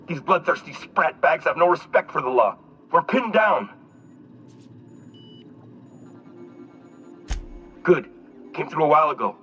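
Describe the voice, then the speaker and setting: An actor playing a desperate, excitable male security officer in a video game, talking in urgent, blustering exclamations. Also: on the high side, lo-fi sound, slight background noise, natural voice. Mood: desperate, relieved.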